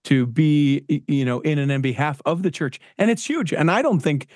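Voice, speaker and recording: Upbeat, slightly high-voiced male host. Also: lively intonation, normal speed, a little mellow, dry recording, studio quality. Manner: enthusiastic, rambling, with many fillers and restarts. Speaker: podcast host. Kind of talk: casual interview.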